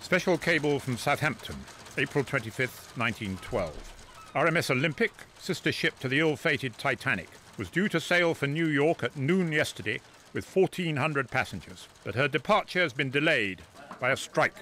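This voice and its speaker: A man's voice: medium pitch, lively intonation, moderate pace, sharp tone, slight background noise. An actor playing an urgent 1912 newspaper man declaiming cable dispatches in a radio drama. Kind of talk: scripted news reading in radio drama.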